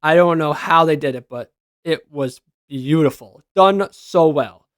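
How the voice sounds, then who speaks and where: Higher-pitched man giving casual fan commentary. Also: unhurried, no room sound, studio quality. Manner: informal, gushing fan talk. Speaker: video game reviewer and reaction host. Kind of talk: casual enthusiastic commentary over screenshots.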